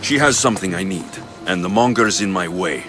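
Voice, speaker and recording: A man's voice: sits low, expressive, fairly quick, fairly bright tone, slight background noise. A man playing a blunt, confident young mercenary in dramatic video game dialogue. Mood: grave, determined. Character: blunt, confident male Spartan-born mercenary.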